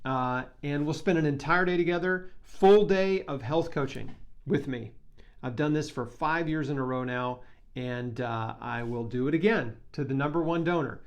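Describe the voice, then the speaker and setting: A man with a somewhat high voice speaks informally to the camera in a fundraising style. He sounds earnest and enthusiastic, like a vlogger making a direct charity appeal to viewers. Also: normal speed. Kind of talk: casual talk to camera, fundraising pitch.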